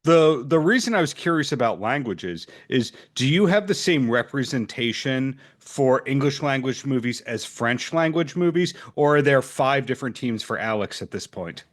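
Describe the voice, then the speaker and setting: Mid-pitched man hosting a video interview. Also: lively intonation, moderate pace, hardly any pauses. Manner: friendly, curious questioning. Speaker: podcast host. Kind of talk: casual interview over video call. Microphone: video call.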